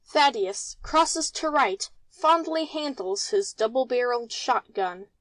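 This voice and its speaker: A woman's voice: on the high side, animated, slow, a bit bright, no room sound, rough recording. A woman narrating, reading stage directions from a script.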